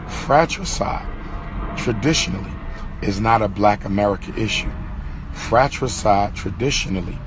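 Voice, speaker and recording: A hoarse man giving an impassioned monologue to his followers, stressing and repeating his key phrases. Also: fairly low pitch, slight background noise. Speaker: lecturer.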